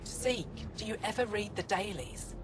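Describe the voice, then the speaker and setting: An actress playing a cheeky, eager alien lookout soldier in a video game, chatty in manner. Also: female voice, medium pitch, lively intonation, moderate pace, music in the background, close to an everyday voice. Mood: forthright. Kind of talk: dramatic game dialogue. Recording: clear ambient noise behind.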